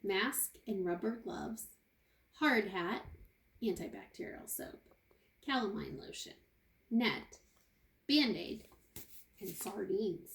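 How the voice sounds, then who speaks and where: A woman teacher reading a picture book aloud to young children, expressively, pausing for asides and questions to her listeners. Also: mid-range voice, animated, creaky, a bit bright. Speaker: kindergarten teacher. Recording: roomy, echoey indoor sound.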